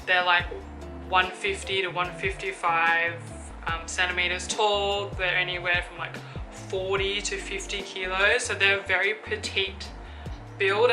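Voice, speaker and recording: A woman, chatting casually through a Q&A vlog and thinking aloud with fillers. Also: medium pitch. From Australia. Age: 20s. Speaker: YouTuber. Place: room with an open window. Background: background music.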